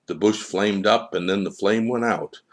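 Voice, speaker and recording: A man's voice: fairly low pitch, moderate pace. A man reading a scripted story aloud as its narrator.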